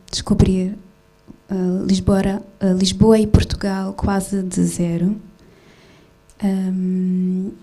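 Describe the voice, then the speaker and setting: A woman's voice: medium pitch, dark tone. A woman raised in Switzerland, a film director answering a festival question in a hesitant way with many fillers and restarts. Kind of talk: festival Q&A talk.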